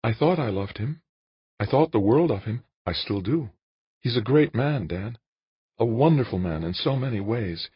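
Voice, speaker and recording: A man's voice: medium pitch, animated, moderate pace, husky, no echo, highs cut off, voice pushed up. A man voicing an anguished woman politician in an audiobook, earnest and pleading with her lover. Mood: torn, distraught. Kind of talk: audiobook character dialogue.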